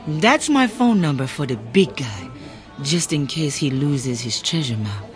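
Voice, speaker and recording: An actress playing a sly waitress who runs a swamp clam bar, taunting and playfully sardonic, in an audio drama. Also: female voice, rumbling low, big pitch swings, unhurried, over music, heavily acted. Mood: brisk, flirtatious. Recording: clearly noisy outdoor night ambience with insects. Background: crickets and insects.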